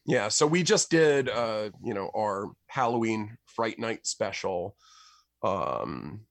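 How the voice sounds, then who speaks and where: A man chatting casually as a podcast guest about his work as a musician, in a rambling, halting way that stumbles over words. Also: fairly low pitch, expressive, dry recording, studio quality. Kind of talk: casual chat about own projects.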